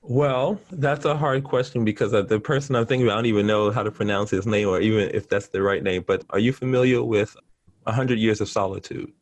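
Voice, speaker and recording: A middle-aged man chatting casually as an interview guest, talking in an animated, effusive and rambling way. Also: on the low side, brisk, no echo. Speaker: interview guest, artist and teacher.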